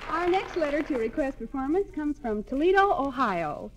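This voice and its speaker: A woman's voice: high-pitched, very animated, moderate pace, very noisy. An American woman presenting a scripted 1940s radio show as its host, on a vintage broadcast recording.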